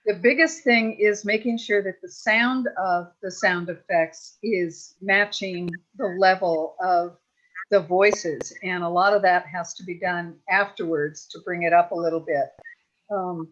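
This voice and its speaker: A woman's voice: fairly low pitch, measured pace, fairly bright tone. Woman explaining casually, slightly muffled audio. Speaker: sound effects performer. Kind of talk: casual panel Q&A.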